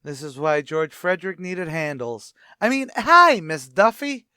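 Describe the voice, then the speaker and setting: A blustery, self-important tavern manager, voiced by a man, in old-time radio comedy style. His wisecracks leap all over the pitch range. The recording is close and dry. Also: very animated, unhurried, pushed and bright, smooth, no echo, full character voice. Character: boastful wisecracking male tavern manager, adult.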